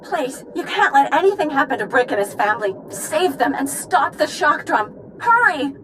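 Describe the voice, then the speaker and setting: Anxious, high-voiced young woman. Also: sing-song, normal speed, background noise. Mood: anxious, urgent. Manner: urgent, pleading. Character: anxious, pleading young woman, earnest and urgent. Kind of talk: dramatic video game acting.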